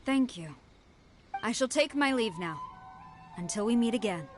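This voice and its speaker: A woman's voice: fairly high pitch, expressive, measured pace, music in the background. A woman voicing a stern young general in a game, speaking in a formal, stately, restrained way.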